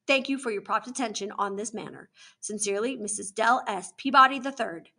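A woman's voice: mid-range voice, expressive, fairly quick, creaky, pushed and bright, exaggerated voice. A woman voicing a haughty, indignant society lady reading out a formal complaint with pompous emphasis. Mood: haughty indignation.

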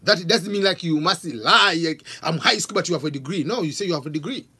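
A man's voice: fairly high pitch, a little fast, husky. A man in his forties from Tanzania with a Tanzanian accent, hosting a live question-and-answer stream from a home office on a studio mic, reading out viewer questions. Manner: animated, gestures a lot, reads out questions. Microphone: studio mic on stand with pop filter.

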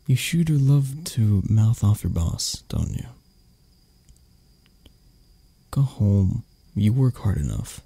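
A man acts out an arrogant male boss for an ASMR audio drama. His voice is breathy and gravelly, swinging widely in pitch while keeping an unhurried pace. He comes across as curt and sardonic, with anxiety underneath. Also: big pitch swings, warm tone, no echo, full character voice. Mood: exasperated, grudging concern. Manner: curt, sardonic, stammering when flustered. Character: arrogant, grumpy male CEO, secretly anxious and vulnerable. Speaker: voice actor.

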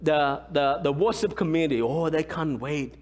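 A man in his thirties, a pastor from Cambodia with a Khmer accent, preaching a lively sermon and often asking the congregation "right?". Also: fairly high pitch, moderate pace. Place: large church sanctuary, indoors. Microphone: far camera, room pickup. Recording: long church hall reverb.

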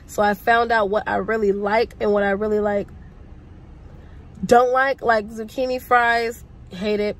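A young woman with a congested, sick-sounding voice, chatting to her phone camera from inside a parked car in a rambling, casual vlog. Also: on the high side. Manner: chatty, rambling, lively slang. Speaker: vlogger. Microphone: phone camera at arm's length.

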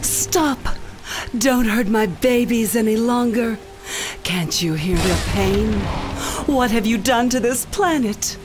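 A woman's voice: a little high, slow. A woman playing a seductive, imperious plant-obsessed supervillain in a video game, with theatrical delivery. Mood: anguished. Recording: game music underneath.

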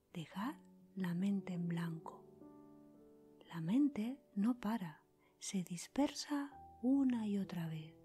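A woman whispering a scripted guided meditation in a soft, breathy, calm voice, leaving pauses between short phrases as a podcast host. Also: medium pitch. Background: music.

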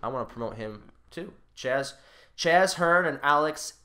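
A man's voice: fairly high pitch, lively intonation, a little slow, sharp tone. A man co-hosting a podcast, talking casually and bluntly with informal, insistent plugs. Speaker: podcast co-host.

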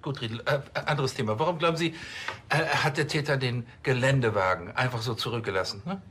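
Pompous mid-pitched police superior, played by a man. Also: quick pace, a bit bright, soft background music, close to an everyday voice. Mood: condescending. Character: self-important, condescending male police superior. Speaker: TV actor. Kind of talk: crime comedy dialogue.

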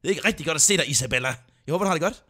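Excitable high-pitched man chatting on stream. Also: racing, breathy edge. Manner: excitable, jokey, repeats himself. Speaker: livestream host. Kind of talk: casual livestream chat, greeting viewers.

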